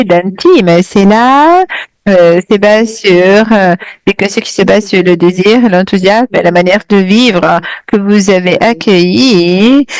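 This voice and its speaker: A woman's voice: medium pitch, no echo, low-quality recording. A woman interpreting a lecture live over a video call, hesitant and full of fillers and restarts.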